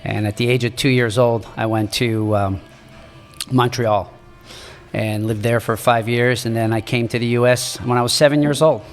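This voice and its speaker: A man in his sixties from Morocco, a native French speaker, telling his life story as a podcast guest in a halting, earnest way. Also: mid-range voice, fairly even intonation, big-room sound, faint music behind. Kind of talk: reflective storytelling in an interview.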